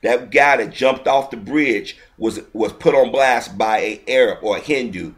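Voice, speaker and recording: A man's voice: mid-range voice, measured pace. A man giving a heated, indignant video commentary, often restarting his sentences mid-thought. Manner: heated, indignant, repetitive ranting with frequent restarts.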